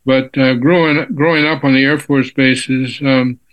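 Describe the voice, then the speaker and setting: A ninety-year-old man from Texas answers questions as an interview guest. He talks in an unhurried, halting way, full of pauses and restarts. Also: medium pitch, steady tone, low-quality recording. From Texas, USA. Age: 90s. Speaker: physicist guest. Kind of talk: casual interview.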